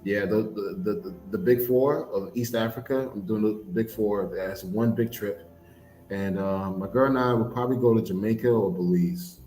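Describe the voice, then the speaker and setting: A man's voice: fairly low pitch, steady tone, moderate pace, warm tone, roomy sound, a little hiss or hum. A man chatting casually about his travel plans, telling stories at an easy pace and often restarting with repeated words. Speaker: podcast co-host. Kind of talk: casual chat.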